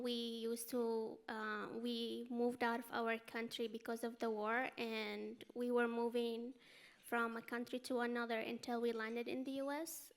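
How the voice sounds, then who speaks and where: A young woman from Syria speaks on a panel. Her voice is somewhat high and very even, and her wording is simple and plain. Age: 20s. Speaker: panel guest, student speaker. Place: indoor luncheon event before an audience.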